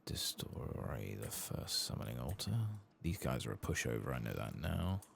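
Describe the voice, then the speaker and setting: A man speaks in an extremely deep, soft voice that crackles heavily with vocal fry. He murmurs an unhurried, musing ASMR commentary over a game, sometimes slipping toward a whisper. Faint noise sits behind him. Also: sing-song. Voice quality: soft, hushed, breathy at times. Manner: unhurried, musing, murmuring to himself while playing. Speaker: gaming video creator.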